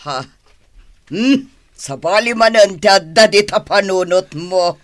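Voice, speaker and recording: An actress playing a greedy, coquettish wife with a giggly manner in a radio drama. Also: female voice, medium pitch, slow, natural voice. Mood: gleeful, flirty.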